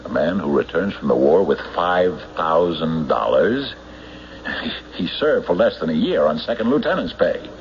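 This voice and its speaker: An actor playing a suspicious American sheriff in a radio mystery, questioning deliberately with measured pauses. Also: male voice, sits low, very animated. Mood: probing, suspicious. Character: suspicious, probing male county sheriff. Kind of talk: radio mystery drama acting. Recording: muffled, hissy old radio recording.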